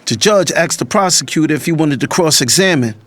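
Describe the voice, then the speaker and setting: An actor playing a calm, loyal young man with casual phrasing in a first-person dramatized audiobook. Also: a little high, brisk. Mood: reflective.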